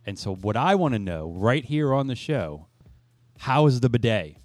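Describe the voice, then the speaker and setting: Lively, swooping male podcast host. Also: mid-range voice, normal speed, dry recording, soft background music. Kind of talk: casual joking podcast chat.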